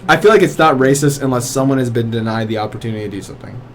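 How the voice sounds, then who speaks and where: A man's voice: mid-range voice, rapid-fire. Joking young man, faint background hiss. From Cuban American, raised in southern Georgia. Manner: laughs often, wry and joking, trails off into restarts. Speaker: podcast co-host. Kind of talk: casual chat.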